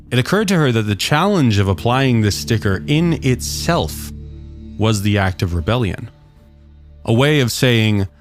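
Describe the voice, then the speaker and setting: A man reading his own science fiction short story as a scripted audiobook narration. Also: lowish voice. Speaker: author and narrator of short stories.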